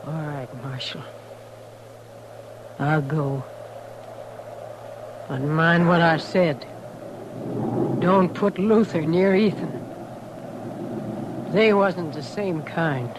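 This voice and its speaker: Slow, bitter old frontier woman. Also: deep voice. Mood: weary, defiant. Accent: American. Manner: slow, folksy, rustic speech. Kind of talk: 1950s radio western acting. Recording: noisy vintage radio drama recording.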